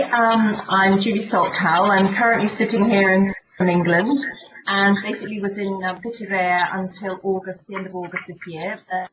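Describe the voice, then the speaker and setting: A woman who works as a journalism tutor introducing herself on a web call, casual and full of fillers. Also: mid-range voice. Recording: muffled call audio with faint noise.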